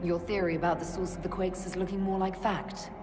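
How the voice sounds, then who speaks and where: Woman as a low-voiced, resolute fantasy adventurer. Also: little pitch movement, a little fast, close to an everyday voice. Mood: focused, businesslike. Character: resolute female fantasy adventurer, practical and focused. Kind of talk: video game cutscene acting. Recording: loud music behind the voice. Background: loud music.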